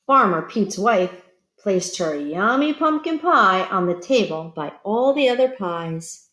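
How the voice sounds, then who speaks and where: A woman reading a picture book aloud to children like a teacher, expressive and pausing to put questions to young listeners. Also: mid-range voice, echoey room. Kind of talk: children's read-aloud storytelling.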